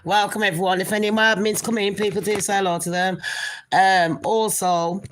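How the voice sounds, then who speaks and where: A woman with a nasal voice hosts a gossip livestream in a mid-pitched tone. She chats loosely and rambles, often turning to address her viewers directly as people or darlings. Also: level tone, fairly quick, a little hiss or hum. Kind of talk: casual live chat to viewers.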